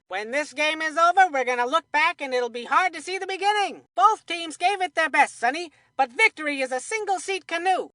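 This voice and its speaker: A woman voicing an excitable, goofy young boy who calls baseball in a cartoon video game, with folksy, jokey old-time announcer patter. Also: high-pitched, dry recording, clean studio sound. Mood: whiny, tired, then upbeat, folksy. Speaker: sports commentator.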